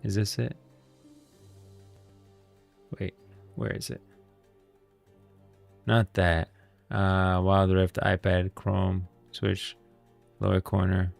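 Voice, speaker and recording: Man, very low pitch, casual slangy streamer chat, thinking aloud, dry sound, faint music behind. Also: little pitch movement, drawn-out words, vocal fry, pauses often. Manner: informal slangy talk addressing viewers as guys and bros. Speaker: livestreamer and host.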